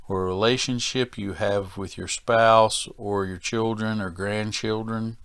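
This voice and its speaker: Male workplace instructor, lower register, folksy and deliberate delivery, close dry recording. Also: a bit bright, dry recording. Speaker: safety training instructor. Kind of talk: instructional presentation to workers.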